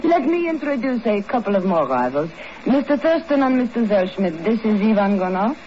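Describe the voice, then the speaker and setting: A woman's voice: medium pitch, big pitch swings, moderate pace, close to an everyday voice. An actress playing a coolly teasing, sardonic woman intriguer in 1950s radio drama. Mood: arch, teasing. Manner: arch, sardonic. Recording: dull, noisy 1950s radio recording.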